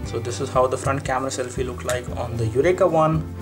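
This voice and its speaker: A man's voice: mid-range voice, a little fast, music in the background. A man giving an unscripted product comparison review, explaining and thinking aloud in a repetitive way as he demonstrates. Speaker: tech reviewer and presenter.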